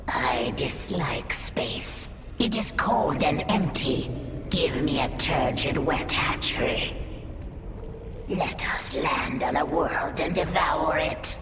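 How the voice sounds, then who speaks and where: Menacing alien brute for a game; growling, slow, echoey, very loud music. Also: male voice, a little high. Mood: disgusted, hungry. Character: surly, ravenous alien swarm brute. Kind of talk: video game creature acting.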